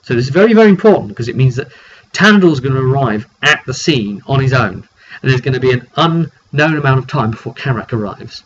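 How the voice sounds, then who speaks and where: A man's voice: mid-range voice, lively intonation, quick pace. A British man casually recounting a tabletop roleplay session as game master, telling it off the cuff with dry, wry asides. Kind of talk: casual unscripted recap to camera. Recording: dull lo-fi sound with clear background noise.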